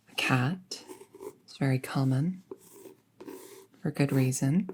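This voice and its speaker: Young apprentice witch for audio roleplay, played by a woman: low, mellow, soft-spoken, casually thoughtful and friendly, near-whispered at times. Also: exaggerated voice. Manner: soft-spoken, hesitant, musing, often trailing into near-whisper. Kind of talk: intimate roleplay audio acting, conversational.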